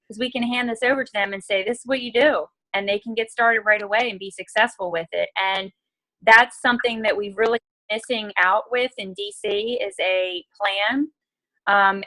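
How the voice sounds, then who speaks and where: A woman's voice: medium pitch, sharp tone, no echo, poor audio. A woman co-hosting an online meeting as a team leader, explaining things in a casual, conversational way. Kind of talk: casual explanatory talk on a video call. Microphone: video call.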